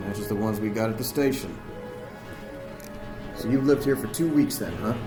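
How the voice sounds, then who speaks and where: A man's voice: lowish voice, normal speed, lightly acted. A man playing a brusque, suspicious small-town police chief in an audio drama, pressing with curt, blunt questions. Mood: probing. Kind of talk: audio drama acting, interrogating. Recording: echoey room, loud music underneath.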